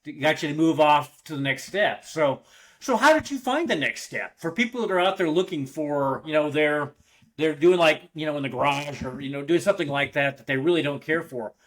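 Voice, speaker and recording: A man's voice: a little high, expressive, fairly quick, poor audio. A man hosting a podcast interview, asking questions with frequent fillers and short agreeing replies. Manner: friendly, many short backchannels and fillers. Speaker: podcast host. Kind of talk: casual interview.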